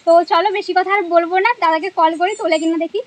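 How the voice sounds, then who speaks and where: A young woman speaks. She talks very quickly in an informal vlogger's way, as on a prank call. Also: very high voice, fairly even intonation, no room sound. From West Bengal, India. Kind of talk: casual prank phone call.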